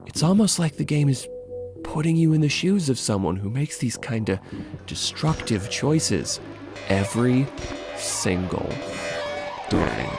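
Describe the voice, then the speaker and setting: A man's voice: medium pitch, sing-song, a little slow, vocal fry, long pauses, music in the background. A man narrating a scripted video essay as its host, in a wry, sardonic, casually sweary way.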